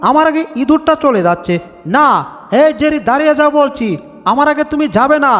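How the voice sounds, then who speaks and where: High-pitched whiny cartoon tomcat, reverberant. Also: male voice, a little fast, a bit bright. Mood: bossy, annoyed. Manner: exaggerated complaining, self-narrating. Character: hot-headed comic male cartoon cat, whiny and bossy. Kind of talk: Bengali comic cartoon dub. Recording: long reverb over background music.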